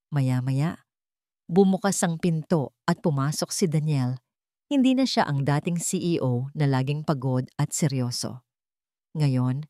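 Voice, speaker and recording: A woman's voice: low-pitched, a little mellow, no echo, studio quality. A woman with a Filipino accent reading a scripted dramatic story as narrator.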